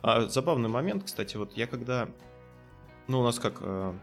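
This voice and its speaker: A man's voice: mid-range voice, animated, moderate pace, soft background music. A man with a southern Russian accent from the Kursk region, interviewing casually and asking hesitant questions full of fillers. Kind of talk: casual conversation.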